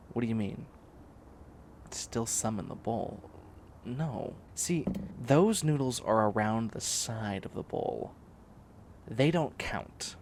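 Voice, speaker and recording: A man's voice: lowish voice, vocal fry, darkish tone, slight background noise, exaggerated voice. A man playing a bossy, clingy young catboy in a comedic roleplay audio drama, whining and demanding in a needy way.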